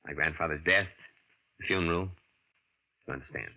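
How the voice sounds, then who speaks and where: A scheming impostor heir. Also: male voice, a bass voice, moderate pace. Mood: feigning grief. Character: scheming young man posing as heir, tense. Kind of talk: 1940s radio drama acting. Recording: dull old radio broadcast.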